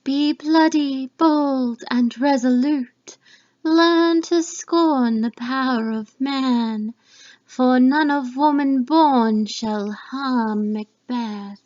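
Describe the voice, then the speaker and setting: High, very slow woman as eerie prophetic witch. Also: a little mellow. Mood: bold, prophetic. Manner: incantatory, emphatic. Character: witches and their prophetic apparitions, eerie and commanding. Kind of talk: dramatic reading of Shakespeare. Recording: dull lo-fi home recording.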